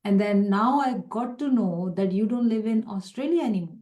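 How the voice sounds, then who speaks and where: Woman host, chatty live video call, mellow voice, echoey home room. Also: medium pitch, moderate pace. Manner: chatty, rambling storyteller, laughs easily. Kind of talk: casual live video chat. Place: sunny room at home in Melbourne.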